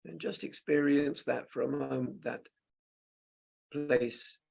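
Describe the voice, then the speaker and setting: A man with a gravelly, husky voice guides a meditation as a teacher, in gentle, unhurried, halting short phrases. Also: medium pitch, fairly even intonation. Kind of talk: slow guided meditation with long pauses.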